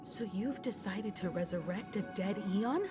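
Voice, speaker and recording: A woman's voice: medium pitch, unhurried, over loud music, lightly acted. An actress playing a composed, stern woman leader in a video game, with a firm, formal, controlled delivery. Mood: stern, defiant. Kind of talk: scripted game voice acting.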